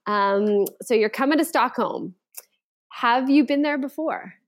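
A woman's voice: on the high side, a little slow. Chatty woman hosting a podcast. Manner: chatty, laughs easily. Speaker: podcast host. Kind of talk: casual interview chat.

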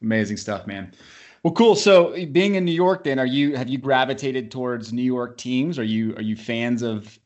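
A man hosting a casual podcast interview, asking questions with quick upbeat reactions. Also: medium pitch. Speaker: podcast host.

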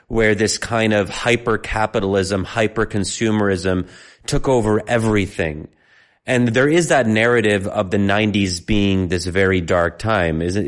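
A man with a fairly low voice hosts a loose podcast conversation. He thinks out loud, circles back and rephrases mid-sentence. He grew up in America with Egyptian parents. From United States, of Egyptian parents. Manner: thoughtful, rambling, self-correcting. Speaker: podcast host. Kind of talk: casual discussion.

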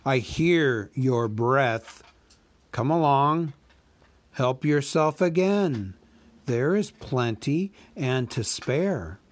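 Man playing a mocking menacing dragon, storybook, slow drawl, dry close sound. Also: medium pitch, lively intonation, dry recording, voice pushed up. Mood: sneering. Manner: slow, sneering, drawn-out speech. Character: huge cunning male dragon, mocking and menacing.

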